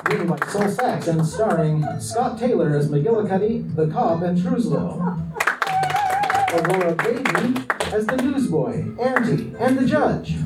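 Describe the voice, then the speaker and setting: A man's voice: high-pitched, lively intonation, measured pace, very noisy. A man hosting a radio play, reading out the credits in an announcer's style. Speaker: show host. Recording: echoey, distant, loud music behind. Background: loud theme music.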